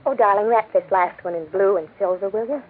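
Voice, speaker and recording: Actress as chirpy young wife, husky, on radio. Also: female voice, mid-range voice, a little fast, lightly acted. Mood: cheerful. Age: young adult. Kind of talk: 1940s radio drama acting. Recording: tinny 1940s radio recording.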